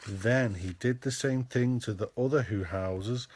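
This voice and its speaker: A man's voice: medium pitch, unhurried, a little mellow, a little hiss or hum. A man reading a children's storybook aloud as a podcast host, in a narrating style.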